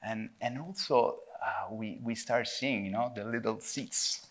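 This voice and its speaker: A man with an Italian accent, a filmmaker answering at a panel discussion, thinking aloud with pauses and a habit of tagging "no?" onto his points. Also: lowish voice. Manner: thoughtful, halting, frequent tag "no?". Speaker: filmmaker guest.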